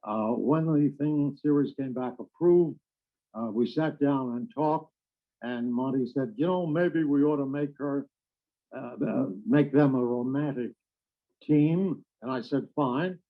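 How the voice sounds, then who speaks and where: An older man reminisces as a veteran radio actor. He speaks slowly and haltingly, pausing often between phrases. The recording is muffled and phone-like. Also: medium pitch. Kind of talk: reminiscing, anecdotal talk.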